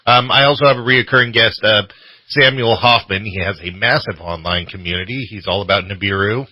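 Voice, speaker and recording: A man chatting casually as a studio radio guest, halting and loose, with plenty of filler phrases. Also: fairly low pitch, pushed and bright, dry recording, low-quality recording. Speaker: radio show host appearing as guest. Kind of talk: casual chat, interview answers. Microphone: studio microphone.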